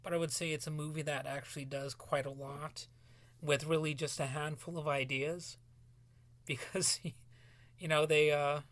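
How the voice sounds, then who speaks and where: A man talking solo in a rambling podcast commentary, halting and restarting often, his voice cracking as he loses it. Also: fairly high pitch, level tone, fairly bright tone, no echo. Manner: halting, many restarts and fillers. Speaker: podcast host.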